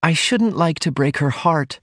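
A man voicing a shy, tender young woman in love, speaking softly and earnestly as in an audiobook. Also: a little high, lively intonation, normal speed, no room sound, voice pushed up, lightly acted. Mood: anxious, pleading. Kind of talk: audiobook character dialogue.

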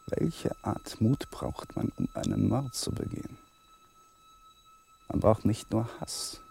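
An actor playing a cold, brooding married lawyer in a radio play, quiet in his inner thoughts and curt in dialogue. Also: very high-pitched, wildly swooping pitch, slow pace, vocal fry, heavily acted. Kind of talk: German radio drama acting and inner monologue.

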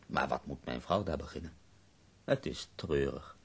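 Very deep, creaky, dying poet. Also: male voice, normal speed, fairly bright tone, exaggerated voice. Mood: weary, bitter. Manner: wry, weary, self-mocking. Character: ailing, bitterly ironic, bedridden male poet. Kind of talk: radio drama acting.

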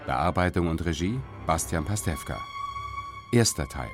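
A man's voice: low voice, animated, normal speed. A man announcing the title and credits of a radio play in a scripted delivery. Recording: prominent music under the voice.

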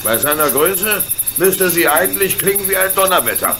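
A man playing a calm, fearless, good-natured engine driver in a German radio play, speaking reassuringly. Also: high voice, moderate pace, heavy background noise.

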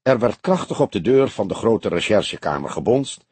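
A man narrating a detective novel as an audiobook in an expressive, scripted reading style. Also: fairly low pitch, moderate pace, husky, no echo.

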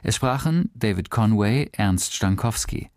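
A man reading scripted credits as a radio announcer in a measured, formal style. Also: lowish voice, animated, darkish tone, no echo, studio quality.

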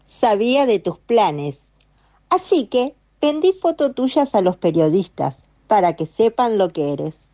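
A woman's voice: mid-range voice, big pitch swings, a little slow, a little mellow. A young woman playing a wicked, gloating nanny in a student horror radio story. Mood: gloating menace. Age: teenager. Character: wicked, gloating nanny who betrays a child.

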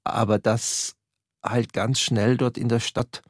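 A man's voice: mid-range voice, level tone, slow, no echo, clean studio sound. A man looking back in a recorded interview, hesitant and musing, laughing at himself at times. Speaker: interviewee remembering his youth.

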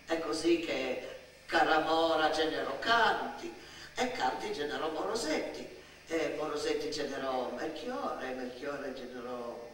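Expressive woman storyteller, very echoey. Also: deep voice. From Italy. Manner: expressive, emphatic, dramatic pauses. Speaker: stage actress and storyteller. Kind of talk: theatrical autobiographical storytelling monologue. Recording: very echoey, distant mic, faint noise.

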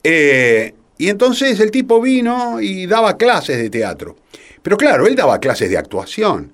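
An older man with a Rioplatense Argentine accent recounts anecdotes in a very animated, swooping voice. He is self-deprecating and conversational, often breaking off and circling back as an interview guest. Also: sits high, a little slow. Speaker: interview guest, theatre playwright. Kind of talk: casual anecdotal storytelling.

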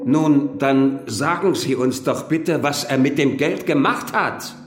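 An actor playing a sharp male interrogator who presses with insistent, probing questions. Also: medium pitch, slow pace, echoey room, faint music behind, close to an everyday voice. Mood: pressing. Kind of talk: radio play acting.

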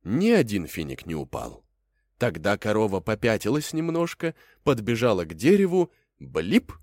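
A man's voice: on the low side, darkish tone, dry recording, clean studio sound. A man narrating a fairy tale as a storyteller, in a folksy, theatrical way.

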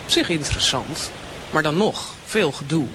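A woman's voice: sits low, animated, normal speed, noisy background. An actress playing a dry, watchful adult daughter who minds her elderly father with brief remarks, in an improvised radio drama.

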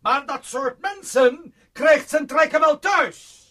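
A man's voice: extremely high pitch, slow, bright, forceful tone, full character voice. An actor playing a fussy, manipulative man who poses as a caring guardian in a radio play, talking in a wordy, insinuating, condescending way with tag questions. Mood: scornful, agitated.

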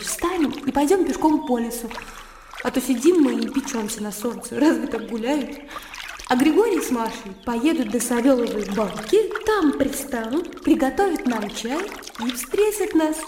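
An actress playing a candid, impulsive young country gentlewoman in a radio drama, girlish and spontaneous. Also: sits high, background noise. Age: young adult. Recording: reverberant radio drama with birdsong and music. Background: birdsong, music.

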